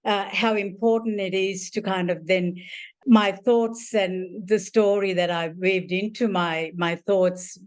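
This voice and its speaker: Older woman, from Sri Lanka, interview guest, mid-pitched voice, rambling, warm, lots of "you know" fillers, restarts. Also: fairly even intonation, takes it slowly. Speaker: author and family therapist. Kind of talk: interview guest answering questions.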